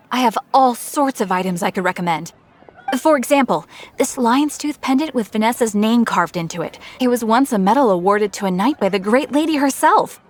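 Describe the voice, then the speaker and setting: A woman's voice: a little high, a little hiss or hum. A woman playing a cheerful, eager shopkeeper in a video game, gushing and polite. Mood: excited. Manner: bright sales patter, gushing, polite. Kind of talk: English video game voice acting.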